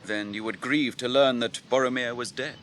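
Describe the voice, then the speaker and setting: An English actor playing a stern, noble warrior captain in a radio drama, with a grave, deliberate, declamatory delivery. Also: male voice, mid-range voice, fairly even intonation, a bit bright, a little hiss or hum, close to an everyday voice. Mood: suspicious. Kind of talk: BBC radio drama acting.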